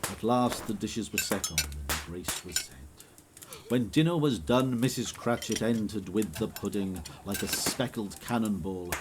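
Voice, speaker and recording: A man reading the narration of a radio drama in a measured storytelling way. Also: fairly low pitch, background noise. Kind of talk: scripted narration.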